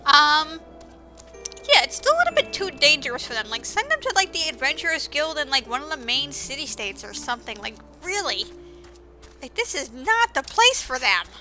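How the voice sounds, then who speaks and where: A woman's voice: high voice, very animated, moderate pace, bright, forceful tone. A woman giving casual video game commentary as host, with sarcastic asides, over music. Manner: sarcastic, mildly profane asides.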